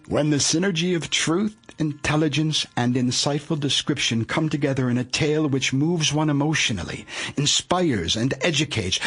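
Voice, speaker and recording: A man's voice: on the high side, fairly bright tone, breathy edge. A man delivering a scripted audiobook introduction as narrator, in a grandiose, solemn manner.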